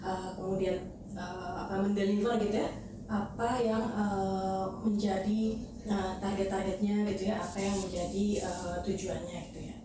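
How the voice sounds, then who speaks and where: A woman's voice: mid-range voice, little pitch movement, moderate pace. A woman explaining things in a casual podcast talk, hesitantly and with English terms mixed in. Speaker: talent management manager, podcast guest. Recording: very echoey room, distant mic, noisy.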